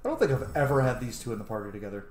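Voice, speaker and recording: Male game streamer, chatty and off-the-cuff, thinking aloud, mid pitch, roomy echo with faint noise. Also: very animated, rapid-fire. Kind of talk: casual gameplay commentary.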